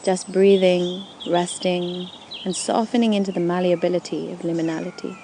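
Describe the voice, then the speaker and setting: A woman with a dark, relaxed, lowish voice leads a guided meditation. She speaks soothingly and takes her time over each gentle phrase. Faint birdsong and forest ambience sit behind her. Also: normal speed, a little hiss or hum. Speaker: yoga nidra guide. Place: outdoors in a forest at dusk. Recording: outdoor recording with faint birdsong.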